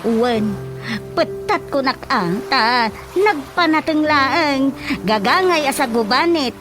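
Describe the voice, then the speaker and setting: A woman's voice: high-pitched, animated, slow, over music. A woman with an Ilocano accent playing a flirty, giggly widow in a radio comedy drama. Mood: mock mournful.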